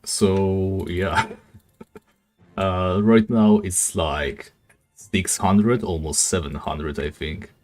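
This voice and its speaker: Deep raspy male game streamer. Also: very slow, soft background music. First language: not English. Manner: relaxed, rambling, many fillers. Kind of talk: casual live-stream chat while gaming.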